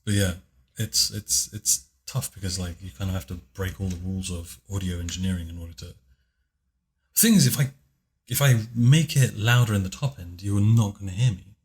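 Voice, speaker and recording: A man with a very deep, low voice, chatting casually in a commentary on his own video, speaking softly and hesitantly with many fillers. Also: moderate pace. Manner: soft-spoken, hesitant, with many fillers and restarts. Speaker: video creator giving commentary.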